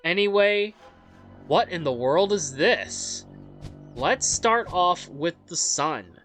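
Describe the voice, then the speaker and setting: A let's play host reads a game's story aloud in a measured, dramatic way and adds offhand, rambling commentary. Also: mid-range voice, lively intonation, fairly bright tone. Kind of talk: reading game story aloud with casual commentary. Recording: music under the voice. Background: game music.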